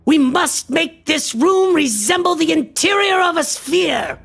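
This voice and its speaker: A man's voice: very high-pitched, expressive, measured pace, creaky, fairly bright tone, exaggerated voice. A male actor playing a frantic, obsessed occultist in a horror radio play, declaiming in a theatrical, grandiose style. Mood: frantic urgency.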